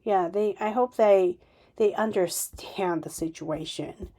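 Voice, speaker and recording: A woman speaks slowly and unscripted on her own podcast, her voice sitting at an ordinary middle pitch. She stops and restarts often, then pushes out sudden emphatic bursts. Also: warm tone. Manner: halting, many restarts and fillers, emphatic bursts. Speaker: podcast host. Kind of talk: unscripted solo podcast monologue.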